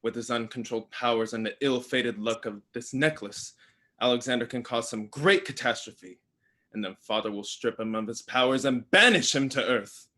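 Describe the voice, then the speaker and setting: Man acting a bright, forceful, scheming villain god. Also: mid-range voice, moderate pace, close to an everyday voice. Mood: gloating, evil. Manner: theatrical, sly, mock-sweet. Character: scheming evil god of the underworld, jealous brother. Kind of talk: live staged reading of a comic play.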